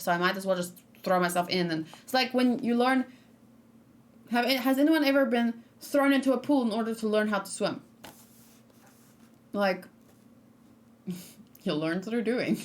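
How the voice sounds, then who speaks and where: A woman streaming live, chatting casually and thinking aloud as she reacts and answers her chat, with plenty of pauses and restarts. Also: mid-range voice.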